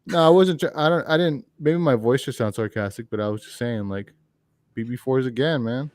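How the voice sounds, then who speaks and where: A man co-hosting a livestream over a video call, reading viewer comments in a flat, dry way. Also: mid-range voice, brisk, no room sound. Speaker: livestream co-host. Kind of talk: casual chat, reading comments.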